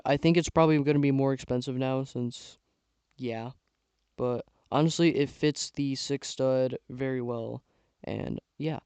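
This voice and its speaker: A young man giving casual tier-list commentary on toy car sets, rambling with lots of fillers and a voice he says is going hoarse. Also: mid-range voice, a little mellow, no room sound. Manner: rambling, filler-heavy, trailing off. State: losing his voice. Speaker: YouTuber ranking toy sets.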